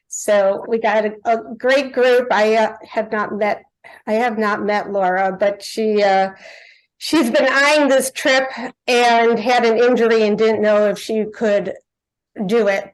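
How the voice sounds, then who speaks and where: A woman's voice: medium pitch, rough recording. A woman hosting an orientation call for a group trip, talking casually with lots of fillers and restarts and laughing often.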